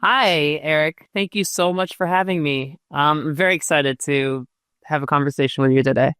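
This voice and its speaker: Woman in her 40s from Israel, interview guest, smooth and low, measured with fillers, dry sound. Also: fairly even intonation, a bit bright, dry recording. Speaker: real estate agent, guest. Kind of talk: casual interview answers.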